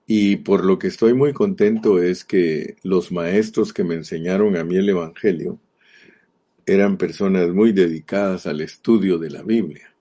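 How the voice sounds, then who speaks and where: A man's voice: sits low, a little slow, mellow. A middle-aged man from Guatemala with a Guatemalan accent, preaching a sermon earnestly and repeating key words for emphasis. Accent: Guatemalan Spanish.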